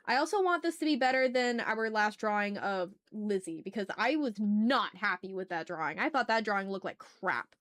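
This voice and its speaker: A woman's voice: mid-range voice, fairly bright tone. A woman hosting a casual art livestream, thinking aloud as she draws and chatting to viewers in informal, slangy, effusive language. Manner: thinks aloud while working. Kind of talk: casual livestream chat while drawing.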